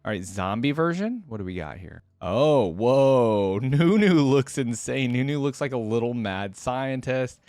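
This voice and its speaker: A man's voice: medium pitch, expressive, a little slow, hardly any pauses, a little mellow, no echo, soft background music. A man giving casual, unscripted reaction commentary as a video host, chatty and thinking aloud.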